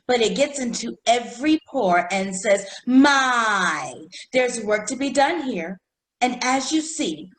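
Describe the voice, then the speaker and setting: A woman playing a brisk, pompous cosmetics saleswoman in old-time radio comedy style, recorded in an echoey room. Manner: showy, deliberate pitchwoman delivery.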